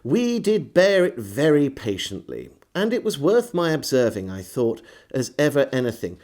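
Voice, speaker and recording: Guy reading an old diary like an audiobook, theatrical, pitch moves around a lot. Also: huge pitch swings, moderate pace, a little mellow. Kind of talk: expressive reading of a 17th-century diary.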